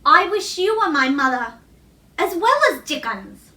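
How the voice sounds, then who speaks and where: A woman voicing an earnest, excitable young boy in a children's storybook, with an eager, wondering way of talking. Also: sits high, unhurried, heavily acted. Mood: adoring. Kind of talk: children's storybook read-aloud. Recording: roomy echo, distant microphone.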